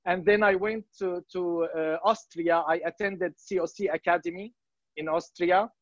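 A man in his thirties from Syria, speaking English as an in a casual testimonial, halting with many fillers and restarts. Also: sits high, steady tone, dry recording. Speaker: volunteer organization member. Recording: dry, muffled lo-fi sound.

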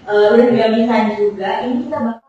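A woman's voice: fairly high pitch, steady tone, fast, lo-fi sound, soft background music. Young woman from far off in an echoey room. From Serang, Banten. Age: young adult. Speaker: podcast co-host. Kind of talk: casual chat. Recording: distant mic, very echoey room.

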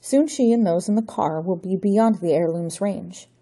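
Grandiose villainous count, as a woman reader puts him on, theatrical and menacing, with a husky, dark edge to the voice. A storybook villain relishing his plan, lifted above the reader's own low register. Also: moderate pace. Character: scheming aristocratic male villain count, grandiose.

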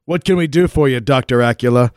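An actor playing an earnest, manly flyboy hero in a 1940s-style radio play, hearty and patriotic. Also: male voice, mid-range voice, fast, darkish tone, no room sound, clean studio sound, natural voice. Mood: eager, patriotic.

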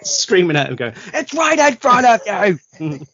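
A man's voice: very high voice, wildly swooping pitch, moderate pace, bright, forceful tone, husky, highs cut off. A British man co-hosting a podcast, chiming in with sweary, jokey quips in casual banter about films. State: tipsy. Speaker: podcast co-host.

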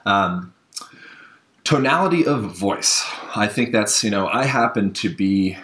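A man hosting a podcast interview in casual chat, energetic and loose, leaning on "you know" a lot. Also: fairly low pitch, a little slow. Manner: energetic, says "you know" often, mimics voices for emphasis. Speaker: podcast host. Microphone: phone or remote call.

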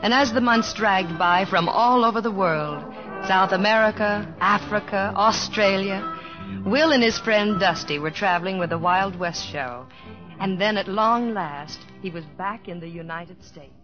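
A woman's voice: mid-range voice, unhurried, close to an everyday voice. An actress playing a spirited, plain-spoken young small-town woman in an old radio drama. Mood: wistful, narrating. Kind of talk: old-time radio drama, narration and dialogue. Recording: dull old radio recording, music behind.

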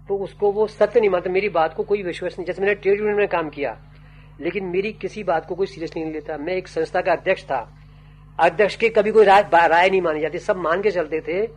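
A man's voice: sits high, darkish tone. Older man musing, dull taped sound. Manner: slow, musing, trailing off with fillers. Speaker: writer and poet interviewee. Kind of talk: reflective interview answers. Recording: dull old tape with faint hum.

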